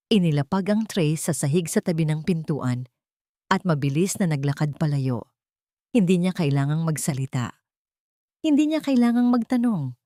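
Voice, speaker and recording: A woman narrating a scripted dramatic story in a measured, solemn manner. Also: low-pitched, dark tone, no room sound, studio quality.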